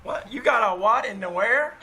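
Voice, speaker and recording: A man's voice: high-pitched, lively intonation, normal speed, sharp tone, exaggerated voice. A man playing a bratty, befuddled adolescent delivery boy who stammers and talks slangily in a 1940s-style radio comedy. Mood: puzzled. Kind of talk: 1940s radio sitcom acting, live stage re-creation. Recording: noisy live theater stage.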